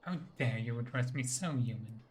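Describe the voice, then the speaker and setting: A man's voice: mid-range voice, normal speed, a little mellow. A man reading fantasy game lore and dialogue aloud as scripted narration. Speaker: game player narrating codex entries and dialogue choices.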